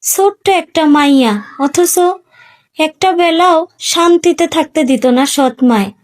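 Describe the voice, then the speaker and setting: A woman's voice: sits high, expressive, unhurried. A woman playing a tender, pious village foster mother in a cartoon, with a rural Bangladeshi accent. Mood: indignant, grateful. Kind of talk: Bengali cartoon story acting.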